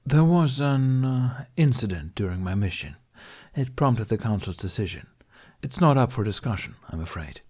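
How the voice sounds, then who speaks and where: A man's voice: mid-range voice, expressive, moderate pace, darkish tone, husky. A man voicing a weary, courteous older male master with a formal, hesitant manner in a narrated story. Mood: evasive, apologetic.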